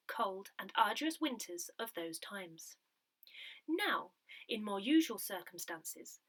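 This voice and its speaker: A woman reading a scripted community newsletter aloud, with careful, clearly phrased delivery. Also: fairly high pitch, pushed and bright. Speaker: newsletter reader.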